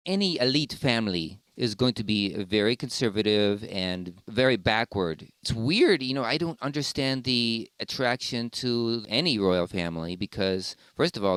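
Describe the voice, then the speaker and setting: Clear-voiced man hosting, dry close sound. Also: lowish voice, fairly bright tone, no echo. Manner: effusive, opinionated. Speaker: podcast host. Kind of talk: casual podcast interview.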